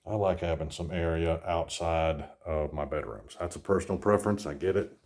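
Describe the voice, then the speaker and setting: A real estate agent with a hoarse, worn voice, chatting casually as he walks through an empty new house. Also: low voice, normal speed, darkish tone. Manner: chatty, rambling, self-deprecating. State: hoarse, losing his voice. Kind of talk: casual home tour vlog. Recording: echoey empty rooms, faint smoke alarm chirps.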